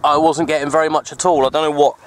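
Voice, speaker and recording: A man giving casual, halting, unscripted commentary for an outdoor hobby vlog, recorded on a handheld camera. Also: on the high side, flat delivery, a little hiss or hum. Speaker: vlogger, CB radio hobbyist. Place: open hillside under trees, outdoors. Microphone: handheld camera microphone.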